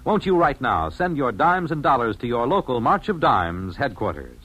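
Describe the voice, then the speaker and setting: A man's voice: medium pitch, sing-song, normal speed, fairly bright tone, husky. A man with an American accent reading scripted announcements and appeals as a 1950s radio announcer. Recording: vintage 1950s radio broadcast.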